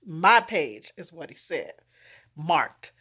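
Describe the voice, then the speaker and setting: A woman author speaking candidly and informally to an audience, expressive and emphatic, repeating key words for effect. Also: low voice, slow, breathy edge. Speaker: erotic romance author promoting their own book.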